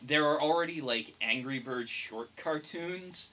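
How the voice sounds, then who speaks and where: A man's voice: fairly high pitch, measured pace, pushed and bright, rough recording. A young man talking casually as a podcast co-host. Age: young adult. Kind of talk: casual chat. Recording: clear background noise.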